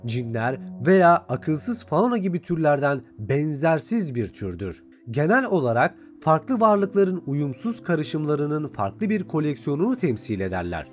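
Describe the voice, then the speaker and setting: A man's voice: a little high, a little mellow. A man reading scripted recap and review narration as a video narrator. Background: background music.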